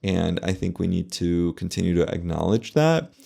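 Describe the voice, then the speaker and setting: Male medical commentator, low and heavily creaky, measured and explanatory, casual delivery. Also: expressive, mellow. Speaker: plastic surgeon reacting to a video.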